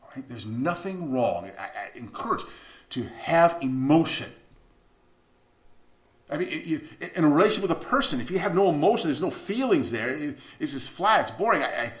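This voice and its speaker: A man preaching a sermon as pastor, chatty and animated, often restarting his sentences. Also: on the high side, normal speed. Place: church sanctuary. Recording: echoey church room, slightly dull sound.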